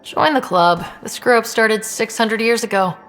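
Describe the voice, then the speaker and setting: A woman playing a steady, wry young space explorer who leads a crew in a video game, in an understated, naturalistic way. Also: on the high side, moderate pace, faint music behind, close to an everyday voice.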